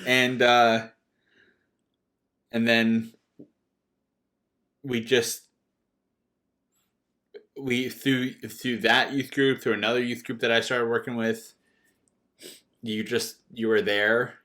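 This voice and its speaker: A man's voice: mid-range voice, flat delivery, takes it slowly, long pauses, pushed and bright. A man of 37 chatting casually as a podcast co-host, often restarting his phrases as he talks. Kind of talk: casual unscripted chat.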